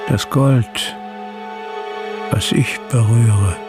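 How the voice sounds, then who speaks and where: An actor playing a bitter, grandiose old man brooding in a radio drama, halting as he speaks. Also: medium pitch. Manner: slow, halting, brooding delivery. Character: dying old man, bitter, grandiose, fallen war profiteer. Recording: loud ominous music behind.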